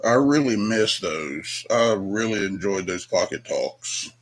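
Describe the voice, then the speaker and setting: Slow, low-voiced man rambling while he works. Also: lively intonation. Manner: hesitant, rambling, self-doubting. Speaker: leatherworker vlogger. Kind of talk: casual chat while working. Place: home workshop.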